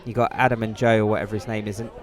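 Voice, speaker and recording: A man's voice: fairly low pitch, flat delivery, rapid-fire, a faint crowd. A man chatting as a podcast guest in casual banter, with a wry, joking way of talking.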